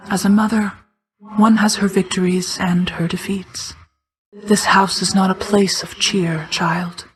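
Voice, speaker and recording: A woman playing an ancient, regal mother goddess of night in a video game, speaking in a formal, measured, restrained way, hushed at times. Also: mid-range voice, close to an everyday voice, rough recording. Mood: grave, reserved. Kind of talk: scripted video game character acting.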